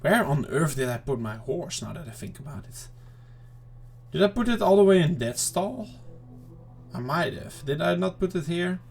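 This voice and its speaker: A man giving casual, rambling let's-play commentary to viewers, his throat slightly off and clearing it now and then. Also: on the high side, lively intonation, normal speed, faint music behind. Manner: rambling, chatty self-talk to viewers. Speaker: gaming channel host.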